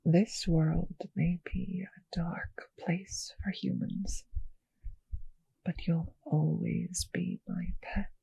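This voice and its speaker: A woman voicing a seductive, possessive and doting vampire noblewoman in an intimate ASMR roleplay, speaking in tender, teasing, breathy whispers. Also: on the low side, fairly even intonation, extremely slow, dark tone, no echo, full character voice, low-quality recording. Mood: possessive, loving.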